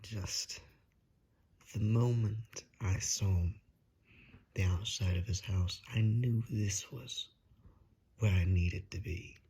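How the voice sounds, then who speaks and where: A courteous gentleman vampire, acted by a man for an ASMR roleplay, speaks in a low, breathy half-whisper. He takes his time, ingratiating and old-fashioned, with hesitant flattery. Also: slow pace, pauses often, heavily acted. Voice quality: soft, breathy, often whispered.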